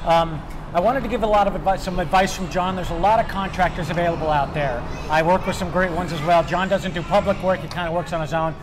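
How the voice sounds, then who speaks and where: Upbeat high-voiced man on a patio. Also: quick pace, noisy background. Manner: upbeat, chatty, many fillers. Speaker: host. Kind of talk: casual restaurant review chat. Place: outdoor brewery patio. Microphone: lapel. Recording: music playing behind.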